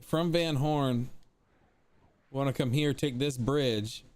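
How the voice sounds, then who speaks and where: A man's voice: mid-range voice, takes it slowly, a little mellow. A forty-year-old man chatting casually with viewers while streaming a game, rambling and repeating himself. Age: 40s. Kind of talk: casual live-stream chat over gameplay.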